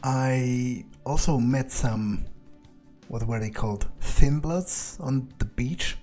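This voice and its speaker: A man's voice: mid-range voice, slow pace, mellow, faint music behind, lightly acted. A man voicing a brash, wary young fledgling vampire while playing a game in character, casual and blunt. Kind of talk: in-character gameplay commentary and roleplay dialogue.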